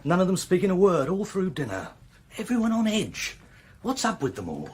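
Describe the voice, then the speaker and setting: A man's voice: high-pitched, wildly swooping pitch, normal speed, slightly rough, full character voice. An actor playing a chatty, nervy young male servant in a radio play, gossipy but deferential. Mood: gossipy, uneasy.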